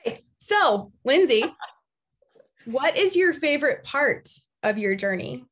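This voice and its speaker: A woman in her forties hosts a relaxed group video call from home. She tells stories in a chatty, animated way and acts out what other people said. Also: high voice, measured pace. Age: 40s. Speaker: podcast host. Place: home room, indoors.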